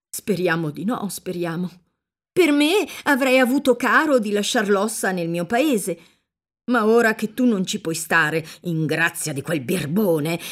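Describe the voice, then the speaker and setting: A woman's voice: mid-range voice, lightly acted. A woman voicing an anxious, loving older peasant mother who talks earnestly and at length. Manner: earnest, fussing, talkative. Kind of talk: audiobook character reading.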